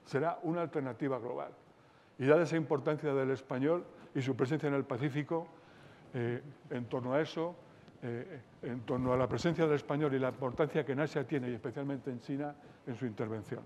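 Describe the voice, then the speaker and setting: A man moderating a conference debate, introducing the speaker in measured formal speech with some filler sounds. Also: fairly high pitch, a little mellow, a little hiss or hum. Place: large lecture hall. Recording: echoey hall recording.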